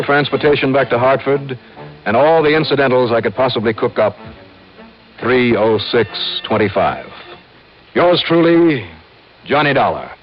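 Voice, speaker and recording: An actor playing a wry, assertive American insurance investigator in a vintage radio detective drama. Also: male voice, mid-range voice, natural voice. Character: wry, sure-footed male freelance insurance investigator. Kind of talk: 1950s-60s American radio detective acting. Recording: dull lo-fi vintage radio recording.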